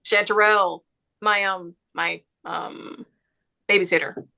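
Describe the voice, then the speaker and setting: A woman playing a frazzled journalist, halting and awkward as she improvises, in a stage comedy read. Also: mid-range voice, steady tone, vocal fry, sharp tone, full character voice. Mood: flustered. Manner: flustered, halting.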